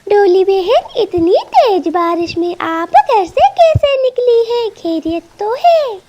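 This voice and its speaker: A woman voicing a gentle, caring mother sparrow in a cartoon, speaking in an anxious, tender way. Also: extremely high pitch, animated, slow, full character voice. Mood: worried. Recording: loud background noise.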